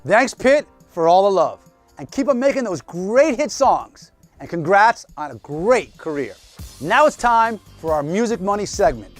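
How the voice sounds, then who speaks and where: High-pitched, animated male TV host. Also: unhurried, soft background music. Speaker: TV show host and interviewer. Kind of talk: presenting and interviewing on a TV entertainment show.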